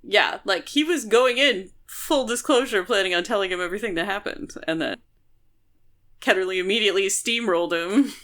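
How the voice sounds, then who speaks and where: A woman's voice: medium pitch, normal speed, fairly bright tone. Woman chatting casually as podcast co-host. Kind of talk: casual chat discussing a novel.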